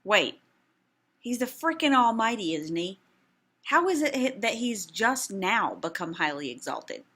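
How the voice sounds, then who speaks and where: A woman's voice: mid-range voice, sing-song, a little slow, pushed and bright, soft background music, heavily acted. A woman voicing a skeptical, irreverent woman who delivers dry, mocking commentary. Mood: sarcastic.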